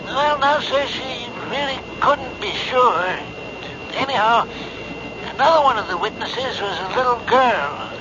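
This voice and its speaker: An actor playing an older male ex-cop on the phone, speaking hesitantly and politely with many pauses in a 1970s radio drama. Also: very high-pitched, expressive. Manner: hesitant, full of uh's, calls others sir. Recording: dull lo-fi vintage radio broadcast with hiss.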